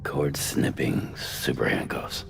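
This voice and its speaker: Super deep gravelly gruff courier dude, few words, skeptical, game cutscene. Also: male voice, slow, exaggerated voice. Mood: dry, skeptical. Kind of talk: video game cutscene voice acting. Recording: loud music under the voice.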